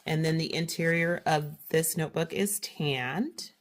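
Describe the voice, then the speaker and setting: A woman's voice: low voice, unhurried, slight background noise. A woman with a slight cold and a sniffle, chatting through a shopping haul and correcting herself as she goes. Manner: chatty, self-correcting, detailed. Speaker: haul vlogger. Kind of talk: casual product haul walkthrough.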